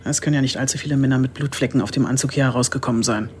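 An actress playing a tough, commanding chief police inspector, brusque and direct, in an audio drama. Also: female voice, a bass voice, steady tone, racing, darkish tone, a little hiss or hum.

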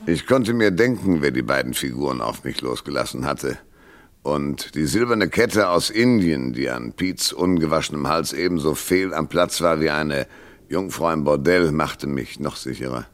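An actor playing a wry, tough hardboiled private detective, narrating and trading dry banter in a radio noir. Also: male voice, extremely low pitch, animated, moderate pace. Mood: wry narration. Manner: sardonic, dry first-person narration and sharp banter.

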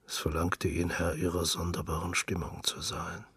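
A man reading scripted literary narration in a husky, gravelly voice, with a measured, intimate storytelling manner. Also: deep voice, level tone, fairly bright tone, dry recording, studio quality.

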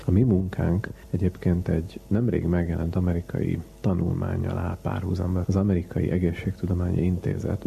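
A man's voice: low-pitched, gravelly, mellow. A man speaking as an interviewed researcher, explaining science in a detailed, methodical way.

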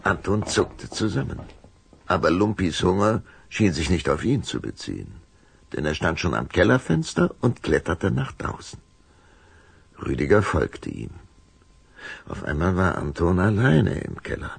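A man narrating a scripted radio play story in an expressive storytelling manner. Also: sits low, unhurried, creaky, breathy edge, no echo.

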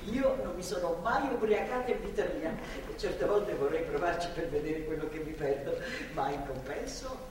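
A woman's voice: lowish voice, moderate pace, a little mellow, lightly acted. Older woman, homely and feisty actress in the role; coaxing, talkative stage delivery, distant in a big echoing hall. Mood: reflective. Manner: coaxing, chatty, storytelling. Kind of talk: live stage drama dialogue. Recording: distant echoey theatre hall, faint noise.

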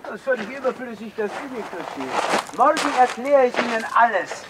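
Getriebener, spöttischer Mörderjäger mit lebhaft schwingender Stimme. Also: male voice, extremely high pitch, very animated, a little fast, very noisy. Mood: impatient, self-assured. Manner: mocking, insistent, theatrical. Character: driven, sardonic man hunting a murderer in old Vienna. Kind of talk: radio drama acting.

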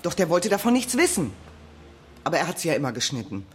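A woman's voice: on the low side, very animated, fast talker. A young mother confides anxiously in a radio crime play. Her talkative voice moves up and down a lot, with faint noise behind it. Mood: agitated, talkative. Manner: confiding, worried. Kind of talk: radio drama acting.

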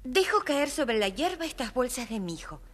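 A woman's voice: high-pitched, very animated, normal speed, fairly bright tone, exaggerated voice. A woman playing a demanding, haughty young princess in a children's fairy tale drama. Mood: imperious.

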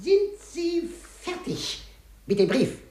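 A rattled, suspicious office boss in an old radio drama. He speaks slowly and formally, with halting phrases and big pitch swings, in a roomy recording. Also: very animated, a little mellow, full character voice. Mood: shaken, suspicious. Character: shaken, suspicious male office director, faltering and formal. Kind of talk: 1950s German radio play acting.

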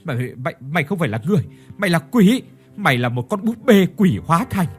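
A man's voice: a little high, lively intonation, a little fast, husky, faint music behind. A man voicing a terrified, hysterical middle-aged village wife who shrieks and stammers in panic.

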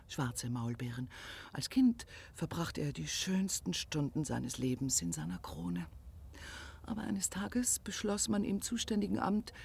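An actress playing a pushy, lovelorn provincial woman organiser in a radio drama, effusive, rambling and melodramatic, with a husky, breathy voice. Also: on the low side, darkish tone, a little hiss or hum. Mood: mournful gossip.

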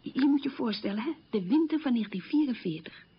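Casting: middle-aged woman, very raspy, bitter survivor recalling hardship in radio drama, muffled old recording. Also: on the high side, lively intonation, fairly quick. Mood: grave, bitter. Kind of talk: radio drama monologue, testimonial recollection.

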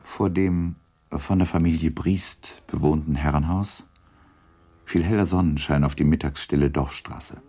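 A man narrating a literary radio drama in a measured, deliberate storytelling manner, with a gravelly, husky voice. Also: a bass voice, dark tone, exaggerated voice. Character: measured narrator of a classic novel.

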